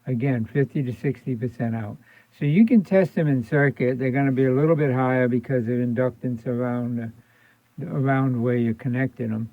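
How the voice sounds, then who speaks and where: A man's voice: medium pitch, fairly even intonation, moderate pace, no room sound. A man giving a casual hands-on tutorial, thinking aloud and repeating his points as he explains. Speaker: electronics repair instructor. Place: indoor electronics workbench. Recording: thin phone-like band-limited sound.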